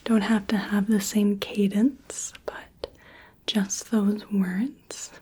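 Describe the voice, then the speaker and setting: Woman, ASMR clinician roleplay, mellow breathy whisper, very unhurried. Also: mid-range voice, little pitch movement. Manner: soft unvoiced whisper, gentle step-by-step instructions. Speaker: ASMR creator playing an examining clinician.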